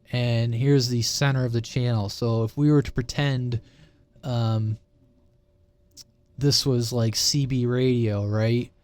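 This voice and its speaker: A man's voice: medium pitch, a little mellow, no room sound. Casual man explaining tech, dry close sound. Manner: informal, conversational, checks in with listeners with "right?" and "okay?". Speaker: presenter of a CB radio explainer channel. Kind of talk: casual, unscripted technical explainer.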